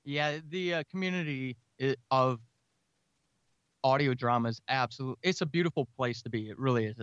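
A talkative, energetic man speaking casually as a guest in an interview about his creative work. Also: mid-range voice, normal speed, clear, resonant tone, no echo, highs cut off. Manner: energetic, talkative, self-described extrovert. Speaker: audio drama writer and podcaster, interview guest.

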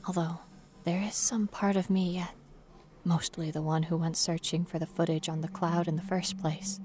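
A woman's voice: lowish voice, natural voice. A woman performing a frightened, paranoid young female student confined in a hospital, as dramatic horror narration over a noisy ambient bed. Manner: breathy asides dropping to whispers, rising to desperate pleading. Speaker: storyteller.

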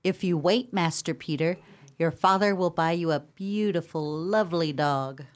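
A disapproving household nurse played by a woman in a radio play. The voice is low for a woman, fussy and deliberate, drawing out words as if always scolding. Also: lightly acted. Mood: coaxing.

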